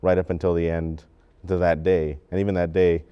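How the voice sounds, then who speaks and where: A man's voice: low-pitched, level tone, brisk, smooth, muffled recording. A young man answering casually and reflectively in an interview as a member of a drama cast. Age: teens or young adult.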